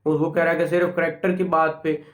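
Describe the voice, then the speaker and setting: A man's voice: on the high side, level tone, a little fast. A man with a Pakistani accent giving a law lecture, mixing in English terms, in an emphatic, didactic way with key phrases repeated. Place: echoey indoor room. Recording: echoey room.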